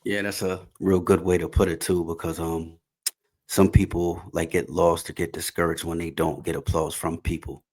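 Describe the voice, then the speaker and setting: Very deep-voiced man in his thirties. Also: normal speed. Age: 30s. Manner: relaxed, informal speech, some "um"s. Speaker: podcast host. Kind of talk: casual interview chat.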